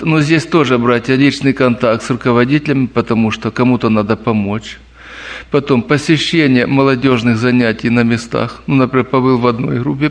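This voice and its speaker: A man telling stories and answering questions at a church meeting, lively and anecdotal, addressing the listeners as brothers. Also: medium pitch, gravelly.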